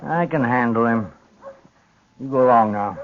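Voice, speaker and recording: A man's voice: medium pitch, a little slow, noisy background, close to an everyday voice. Man acting folksy old American rancher, muffled recording. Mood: fatherly, steady. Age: older. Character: old rancher father, plain-spoken, wronged but stubborn. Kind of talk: 1950s radio western acting. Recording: muffled vintage radio recording.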